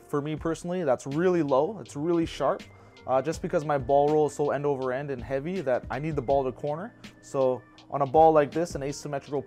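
Shop instructor, man in his 30s, lapel mic, easygoing practical delivery, light pitch. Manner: relaxed, practical, personal asides. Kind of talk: casual how-to explanation. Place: bowling pro shop indoors.

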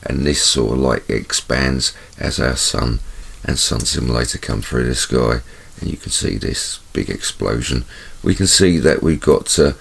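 A man's voice: extremely low pitch, creaky, slightly rough. A man with a stuffy, bunged-up voice hosts his own channel, talking chattily over camera footage and repeating words for emphasis. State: congested, bunged up. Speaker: channel host. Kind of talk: casual video commentary over camera footage.

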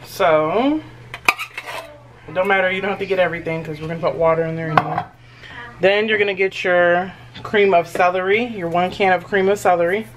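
A woman's voice: lowish voice, steady tone, a little fast. Chatty woman cooking in a kitchen. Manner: chatty, reassuring, addresses viewers as y'all. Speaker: home cooking vlogger. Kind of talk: casual cooking walkthrough. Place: home kitchen. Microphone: camera mic across the kitchen. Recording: noisy home kitchen recording. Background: clinking dishes and cutlery.

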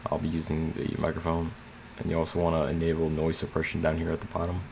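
A man's voice: a bass voice, monotone, fast talker, a little mellow. A man narrating a casual screen tutorial in a plain, slightly hesitant way. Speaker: tutorial host. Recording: clear background noise.

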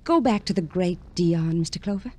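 A woman's voice: fairly low pitch, moderate pace, warm tone, close to an everyday voice. An actress playing a guarded, scornful young woman in an old radio crime drama, speaking in formal, theatrical phrases. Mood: bitter scorn. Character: bitter, guarded young female magazine illustrator. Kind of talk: 1940s-50s radio drama acting.

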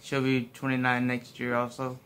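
Dry, flat-voiced man. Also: normal speed, very noisy. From United States. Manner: dry, teasing, low-key. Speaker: co-host, partner. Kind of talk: casual couple vlog chat. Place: hospital room, lying in a bed. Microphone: phone camera at arm's length.

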